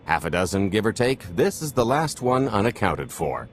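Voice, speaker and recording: Wry sci-fi game con man, kinda low, really animated, teasing, bit of background noise. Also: moderate pace. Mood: wry, casual. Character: wry, smooth-talking roguish male space swindler. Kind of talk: video game voice acting.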